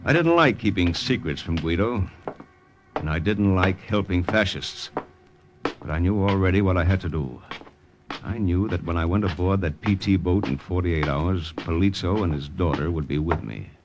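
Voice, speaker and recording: A man's voice: low voice, normal speed, background noise, natural voice. An actor playing a wary American secret agent who narrates his mission in an old radio drama, terse and understated, with an American accent. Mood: brooding. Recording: vintage radio drama recording with music.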